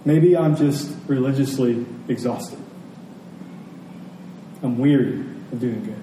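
A man's voice: mid-range voice, takes it slowly, dark tone. An American man giving a conversational sermon as a pastor, speaking reflectively and repeating phrases for emphasis. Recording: roomy, distant mic, faint noise.

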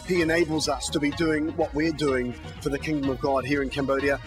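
A man's voice: a little high, flat delivery, brisk. A man, a missionary parent, speaking appreciatively about support for his family in a mission video. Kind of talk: interview in a ministry video. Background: music.

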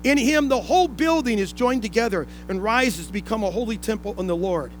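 High-pitched man preaching a sermon. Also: animated, fairly quick, faint music behind. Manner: repeats key phrases for emphasis; explanatory, with rhetorical questions. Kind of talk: sermon to a congregation. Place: indoor room, speaking to a congregation.

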